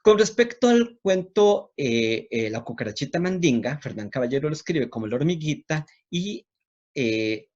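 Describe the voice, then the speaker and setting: A man's voice: on the high side, big pitch swings, moderate pace, darkish tone, dull audio. A Costa Rican man with a didactic manner, speaking as a university lecturer presenting on literature. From Costa Rica.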